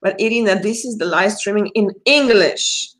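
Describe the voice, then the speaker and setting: A woman with a Russian accent hosts a casual live stream and reads tarot cards for her viewers. She chats freely, laughs often and greets people by name. Her pitch sits in the middle range. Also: moderate pace, a bit bright. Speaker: tarot reader.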